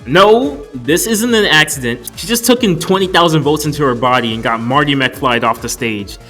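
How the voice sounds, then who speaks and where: A man's voice: a little high, lively intonation, moderate pace, bright, forceful tone, faint music behind. A man narrating a casual, joke-filled video review in a wry, profane, mock-exasperated way. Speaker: movie reviewer.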